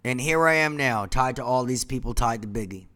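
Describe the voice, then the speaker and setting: Stuffed-up man, loose rambling monologue, drifts into mumbled asides, medium pitch. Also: brisk. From Washington, D.C. area. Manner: rambling, trailing off into quiet asides. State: congested. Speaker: company founder.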